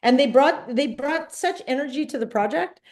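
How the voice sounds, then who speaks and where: A woman in her sixties, born in Trinidad and raised in Vancouver, speaking as a filmmaker guest in a casual interview, with an animated storytelling manner. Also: high-pitched, moderate pace. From born in Trinidad, grew up in Vancouver. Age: 60s.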